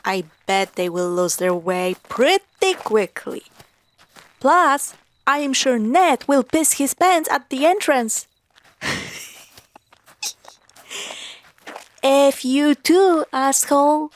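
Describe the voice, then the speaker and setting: A woman playing a playful, sarcastic young girlfriend in a spooky roleplay audio drama, teasing and expressive. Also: high-pitched, takes it slowly. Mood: snarky bickering. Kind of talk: spooky roleplay audio drama, acted one-sided dialogue.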